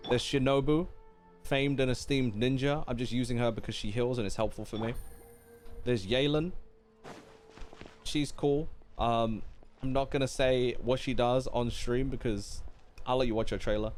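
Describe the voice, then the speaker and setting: A man live-streams a game in a casual, chatty style. His voice sits at a medium pitch and he rambles playfully as he reacts. Faint game music plays behind him. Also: fairly even intonation, normal speed, a little mellow.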